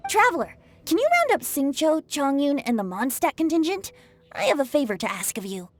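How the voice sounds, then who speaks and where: A woman's voice: high voice, very animated, fairly quick. A woman voicing a playful, breezy young male bard in a video game, with a lilting, teasing, flowery way of talking. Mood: cheerful, welcoming. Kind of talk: scripted game dialogue.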